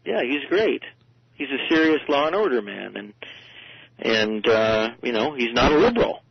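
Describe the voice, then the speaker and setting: A man speaking informally as a guest commentator in a radio interview, halting and often rewording himself. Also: medium pitch, big pitch swings, creaky, muffled recording.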